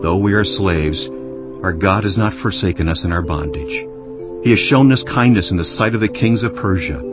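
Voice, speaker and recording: A man narrating dramatized scripture in a solemn, measured manner. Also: low-pitched. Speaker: Bible narrator. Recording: dull audio under music. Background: prominent background music.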